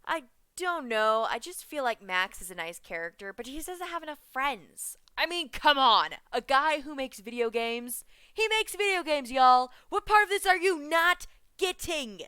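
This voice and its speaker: Giggly, bright-voiced young woman fan vlogger. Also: sits high, lively intonation, moderate pace, dry recording, studio quality. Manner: chatty, giggly, punning, jumps off track. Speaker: vlogger reviewing a cartoon episode. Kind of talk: excited fan reaction vlog.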